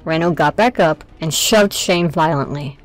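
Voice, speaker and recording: A woman's voice: low voice, normal speed, soft background music. A woman narrating a scripted audio drama as its storyteller.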